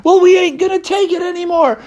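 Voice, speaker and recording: A woman's voice: very deep voice, expressive, measured pace, darkish tone, highs cut off, pitched below the performer's own voice. Woman as a defiant, tough storybook bear. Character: tough, suspicious heroic bear, protective of his friends. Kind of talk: children's story character voice.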